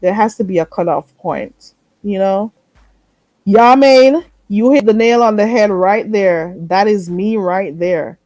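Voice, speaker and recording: A woman's voice: mid-range voice, a little slow, darkish tone, dry recording, rough recording. Woman host, stuffy and a bit nasal, emphatic live-stream chatter, dry close mic. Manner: chatty, emphatic, repeats herself. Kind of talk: late night live chat with viewers.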